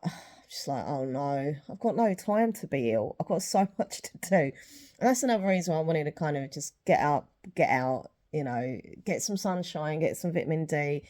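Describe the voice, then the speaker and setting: A woman's voice: low-pitched, mellow. A young woman chatting casually in a rambling vlog, a little sniffly with a sore throat. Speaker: vlogger.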